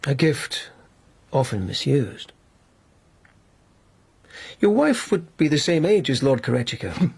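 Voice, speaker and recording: An actor playing a shrewd young lieutenant in a radio drama, measured and quietly provocative. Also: male voice, medium pitch, sing-song, gravelly, breathy edge, full character voice. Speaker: investigator in a radio play. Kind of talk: British radio drama acting.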